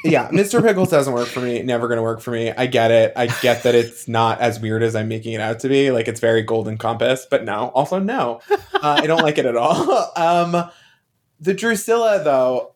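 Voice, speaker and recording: A man's voice: medium pitch, expressive, moderate pace. A man talking casually as a podcast panelist, effusive and emphatic, with campy slang. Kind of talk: casual pop-culture chat.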